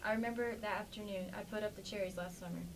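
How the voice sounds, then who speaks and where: A woman's voice: medium pitch, fairly even intonation, fast talker, natural voice. A woman playing a plainspoken, defensive farmer's wife in an amateur staged play reading. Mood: wistful, sympathetic. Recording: distant mic with faint background noise.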